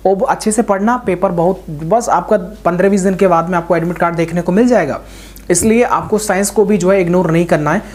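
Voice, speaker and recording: A woman's voice: fairly low pitch, fairly quick, a little mellow. A woman giving informal exam coaching, speaking directly to students and repeating points for emphasis. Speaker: exam-prep teacher.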